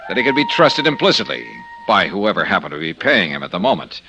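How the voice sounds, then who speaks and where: An actor playing a wry, skeptical American insurance investigator in old-time radio style, dry and sardonic. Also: male voice, sits low, sing-song, quick pace, music in the background. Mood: measured narrating. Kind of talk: old-time radio detective acting and narration.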